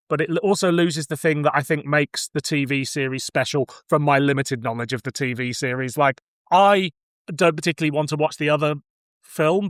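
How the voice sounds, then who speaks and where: A man's voice: fairly high pitch, fairly bright tone, no room sound, clean studio sound. A man talking casually and reflectively as he reviews film and television. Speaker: podcast co-reviewer.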